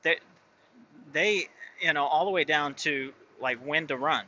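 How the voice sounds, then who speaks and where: A man's voice: on the high side, unhurried, pushed and bright, lo-fi sound, a little hiss or hum. A man who drives his own truck, talking casually and rambling, full of fillers and restarts. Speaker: truck driver and owner operator. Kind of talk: casual vlog talk.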